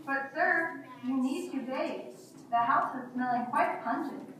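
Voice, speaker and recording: A woman's voice: high-pitched, a little slow, a bit bright, slight background noise. A woman playing a polite, concerned servant nagging a master in a children's puppet show. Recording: very echoey, distant, music behind.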